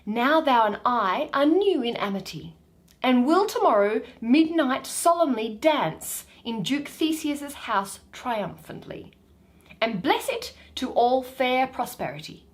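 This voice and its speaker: A woman voicing a tender, commanding male fairy king in measured, declamatory Shakespearean verse. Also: high-pitched, animated. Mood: solemn.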